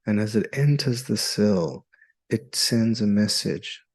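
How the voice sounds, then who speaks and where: A man leading a guided meditation in a soothing, hypnotic, measured way with long pauses. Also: lowish voice, slightly rough.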